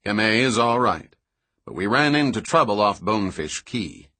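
A man's voice: fairly low pitch, big pitch swings, moderate pace, sharp tone, no echo, exaggerated voice. A man reading as a dry, matter-of-fact male engineer. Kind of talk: audiobook dialogue reading.

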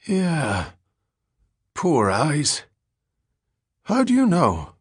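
Man voicing a rambling, half-mad ragged drifter, higher-pitched. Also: huge pitch swings, very slow, slightly rough, no room sound, clean studio sound, full character voice. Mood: suspicious, dazed. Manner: rambling, repetitive, slangy street talk.

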